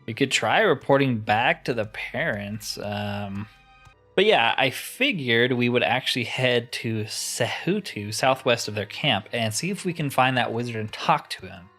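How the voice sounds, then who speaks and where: A man's voice: medium pitch, animated, unhurried, a bit bright, soft background music. A man giving casual, informal let's play commentary on a roleplaying video game, thinking aloud as he plays.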